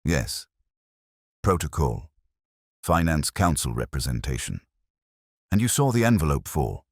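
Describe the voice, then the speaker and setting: A fussy, guarded clerk from a storybook mystery, as a man reads him, soft-spoken and prim, clipping his answers short. His voice is very deep with a husky edge and a lively lilt. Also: unhurried, no room sound, clean studio sound, heavily acted. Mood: guarded polite.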